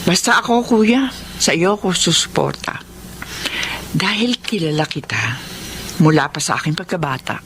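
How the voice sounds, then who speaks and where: Frank, lively loyal wife. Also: female voice, fairly low pitch, moderate pace, long pauses. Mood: frank, resolute. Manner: earnest, frank. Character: loyal, outspoken wife and devoted younger sister. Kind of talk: Filipino radio drama acting. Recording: faint background noise on radio drama.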